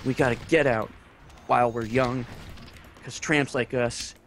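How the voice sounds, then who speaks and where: A man in casual voice chat, reciting song lyrics slowly as spoken poems while friends laugh. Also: medium pitch, soft background music. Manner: slow, lively reciting with laughter. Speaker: gamer on voice chat.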